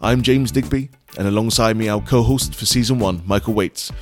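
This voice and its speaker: A man hosting a podcast, reading its introduction in a smooth, scripted way. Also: lowish voice, moderate pace, soft background music. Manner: smooth, read-out delivery. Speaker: podcast host.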